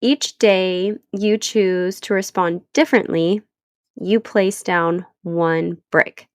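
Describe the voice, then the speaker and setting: A woman's voice: fairly low pitch, fairly even intonation, takes it slowly, smooth, dry recording, clean studio sound. A woman in her thirties hosting her own podcast, stuffy from a cold, talking in an earnest, encouraging way. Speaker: podcast host. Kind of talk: solo podcast talk.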